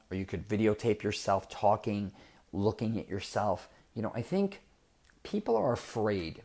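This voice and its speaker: A man's voice: lowish voice, a little mellow. A middle-aged man hosting a podcast, giving blunt, direct advice in a casual monologue. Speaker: podcast host.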